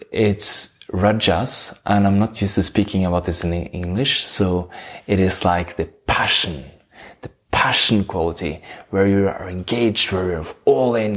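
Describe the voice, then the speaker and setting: A man with a Norwegian accent giving a reflective spiritual monologue as host, earnest and searching, often pausing and restarting his phrases. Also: on the low side. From Norway. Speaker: leadership coach and host.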